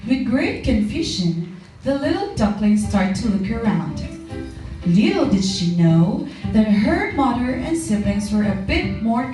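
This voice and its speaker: A woman narrating a scripted children's story as the storyteller of a puppet show. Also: mid-range voice. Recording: very echoey room, distant mic, music.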